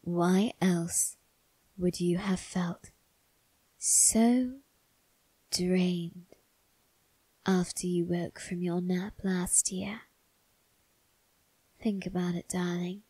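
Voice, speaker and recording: A woman voicing a suave male vampire husband in an ASMR roleplay, coaxing softly and often whispering with quiet menace. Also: lowish voice, fairly even intonation, dry recording, heavily acted, poor audio. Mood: seductive menace. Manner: slow, soft, breathy coaxing, often whispered.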